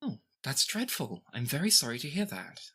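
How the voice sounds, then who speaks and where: A performer voicing a young teenage princess who is gentle, guarded and composed, with formal, measured phrasing in a fantasy tabletop game. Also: medium pitch, sing-song, breathy edge, voice pushed up, heavily acted. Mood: polite, gentle.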